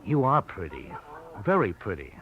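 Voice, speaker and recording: An actor playing a cocky, flirtatious, shrewd reporter with an American accent and a slangy, sardonic way of talking, in a 1940s radio drama. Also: male voice, low voice, wildly swooping pitch, moderate pace. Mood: flirtatious, insinuating. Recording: muffled old radio broadcast recording.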